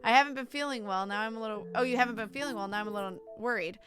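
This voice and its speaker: A woman game streamer with an expressive, irreverent manner, giving casual let's-play commentary and reading game text aloud. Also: fairly high pitch, racing. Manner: expressive, irreverent, reacts bluntly. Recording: game music behind voice. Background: game music.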